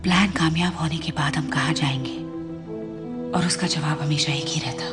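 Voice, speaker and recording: An actress playing a grave, dutiful cyborg daughter of a tyrant in a dubbed film. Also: female voice, low-pitched, steady tone, moderate pace, loud music behind the voice, lightly acted.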